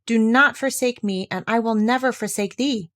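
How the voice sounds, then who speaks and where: A woman's voice: a little high, normal speed, fairly bright tone, lightly acted. Storybook little girl, voiced by a woman narrator: devoted, earnest, solemn in her promises. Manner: earnest, pleading, old-fashioned solemn phrasing.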